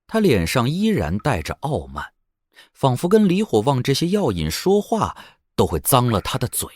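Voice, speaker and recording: A man's voice: medium pitch, huge pitch swings, moderate pace, no echo, studio quality. A man narrating an audio drama in a dramatic, scripted storytelling style. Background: background music.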